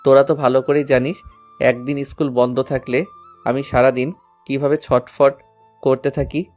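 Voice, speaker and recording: A man's voice: medium pitch, flat delivery, normal speed, darkish tone, soft background music, lightly acted. A man playing a devoted, lovesick schoolboy in an audio love story, speaking earnestly and sentimentally. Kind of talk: Bengali audio story acting.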